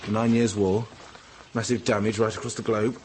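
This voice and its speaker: A man's voice: fairly low pitch, flat delivery, normal speed, a little mellow, a little hiss or hum, natural voice. A British actor playing a smug, crude, complacent young man in a radio drama, with a blasé, flippant manner. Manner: blasé, flippant, casually boastful.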